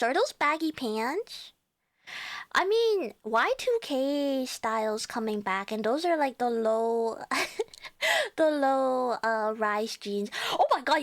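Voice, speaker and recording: A woman's voice: high-pitched, dry recording. A young woman with a Cantonese accent chatting casually with her viewers as a livestreamer, chatty and giggling often.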